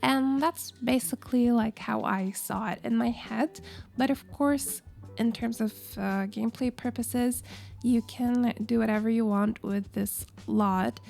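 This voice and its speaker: Hoarse woman chatting over a speed build. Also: fairly high pitch, steady tone, a little slow, darkish tone, soft background music. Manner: chatty, rambling with fillers. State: hoarse from illness. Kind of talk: casual voiceover.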